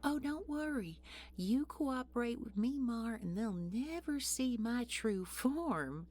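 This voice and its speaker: A woman's voice: on the high side, expressive, slow, background noise. A woman playing an ancient nightmare posing as a business executive in an audio horror drama, mock-sweet and menacing, with folksy, condescending pet names. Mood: coaxing menace. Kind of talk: audio horror roleplay acting.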